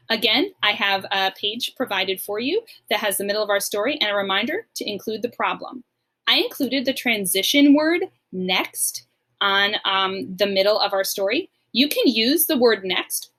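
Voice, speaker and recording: A woman's voice: mid-range voice, fairly bright tone. A woman teacher giving a recorded lesson to young pupils, explaining simply and encouragingly, step by step. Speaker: elementary school teacher.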